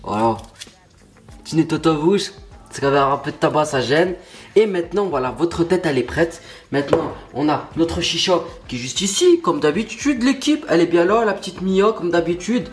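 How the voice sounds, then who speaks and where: A man's voice: fairly high pitch, animated, moderate pace, soft background music. A young man, stuffy from a cold, chatting casually and slangily to his viewers in a vlog. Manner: slangy, pumped-up, talks straight to viewers. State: stuffy nose, has a cold. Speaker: YouTuber.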